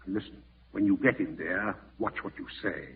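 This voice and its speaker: An actor playing a curt, scheming husband who bosses and distrusts everyone, in 1940s radio horror style. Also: male voice, low-pitched, normal speed, creaky, breathy edge, full character voice. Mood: bossy, menacing. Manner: curt, bossy, suspicious. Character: suspicious, domineering, scheming husband and nephew. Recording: tinny vintage radio broadcast.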